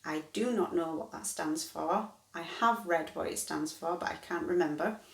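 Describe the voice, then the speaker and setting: A woman's voice: fairly low pitch, moderate pace, vocal fry. Chatty woman in her thirties, echoey room. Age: 30s. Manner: rambling, chatty, self-correcting. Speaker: vlogger. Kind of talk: casual chat to camera.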